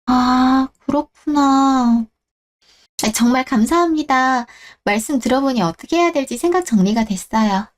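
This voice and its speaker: A woman's voice: high voice, measured pace. A woman voicing a nervous young first-time job applicant in a radio drama, timid and polite.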